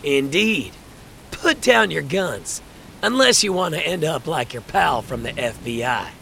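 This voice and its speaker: A man playing an older, ruthless crime boss in an audio drama, taunting people with mock affection in a gravelly voice. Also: a little high, animated, measured pace, pushed and bright, a little hiss or hum, full character voice. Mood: menacing, taunting.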